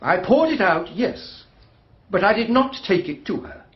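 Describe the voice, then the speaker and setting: A man's voice: high-pitched, sing-song, measured pace, echoey room, dull audio. A man playing a defensive, haughty British husband under suspicion in a radio drama, clipped and indignant. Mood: guarded. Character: stiff, defensive, haughty husband suspected of poisoning. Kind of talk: formal radio drama dialogue.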